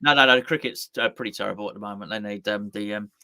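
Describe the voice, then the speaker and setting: A man's voice: lowish voice, crowd noise. A man co-hosting a casual live football chat, rambling and restarting his sentences often. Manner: rambling, halting with repeated restarts. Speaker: co-host.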